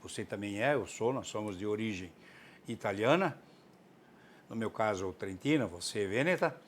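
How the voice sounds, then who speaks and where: A man's voice: on the low side, animated, normal speed, slight background noise. Earnest older Brazilian man. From southern Brazil. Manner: earnest, enthusiastic; frequent tag "né". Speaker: interviewee, author. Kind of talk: interview answer.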